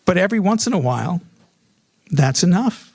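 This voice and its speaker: Animated, high-voiced male storyteller. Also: moderate pace, creaky, very noisy. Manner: animated, dramatic timing. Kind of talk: live comedic personal storytelling.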